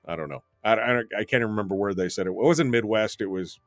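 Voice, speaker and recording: A man's voice: lowish voice, fast, gravelly, no room sound, soft background music. A man hosting a talk radio show, reading listener comments aloud and answering them with opinionated, rambling remarks full of ums and restarts.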